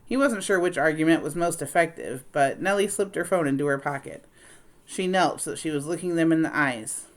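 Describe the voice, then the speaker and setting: A woman with a low-pitched voice reads a children's novel aloud. She delivers it as straightforward story narration. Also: a little fast. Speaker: read-aloud reader.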